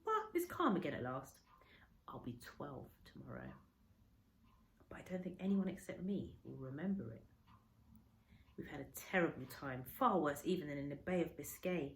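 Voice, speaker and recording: A woman reading a children's story aloud like a teacher, with expressive, varied emphasis. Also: low-pitched, normal speed, gravelly, pauses often, slight background noise. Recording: roomy, echoey indoor sound.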